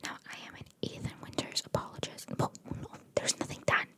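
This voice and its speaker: A woman speaks entirely in a soft, breathy whisper in the manner of an ASMR host. She reads out short bits of trivia and drops in casual, opinionated asides. Her delivery stays chatty and playful while fully unvoiced. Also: fairly quick.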